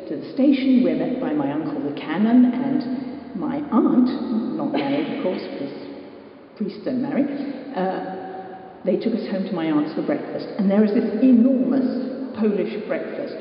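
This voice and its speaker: A woman's voice: lowish voice, animated, normal speed, a little hiss or hum. An older Englishwoman tells a story to a live audience with dry, self-mocking wit. She sounds far from the microphone in a big hall with a long, echoing reverb. The sound is dull and slightly muffled. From England. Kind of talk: told personal story at a live storytelling event. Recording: distant, echoey hall, muffled.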